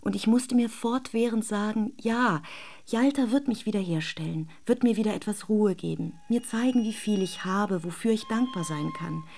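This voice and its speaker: Radio monologue role, actress: introspective young married woman, dark timbre, frequent vocal fry, confiding delivery. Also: mid-range voice, normal speed, close to an everyday voice. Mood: uneasy confiding. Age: young adult. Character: restless young Russian wife recalling her marriage. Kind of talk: first-person radio drama monologue.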